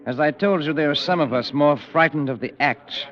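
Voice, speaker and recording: An actor playing an eager, sociable gentleman club member, polite and chatty, in a vintage radio drama. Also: fairly high pitch, moderate pace, lightly acted. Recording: dull, lo-fi vintage radio recording.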